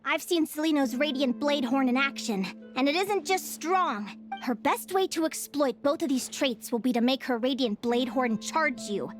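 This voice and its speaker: A high, youthful female adventurer speaks over game music. She sounds sincere, caring and upbeat, like a gentle heroine in a video game. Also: animated, normal speed. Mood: earnest coaching. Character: sweet, earnest young woman adventurer, caring and upbeat.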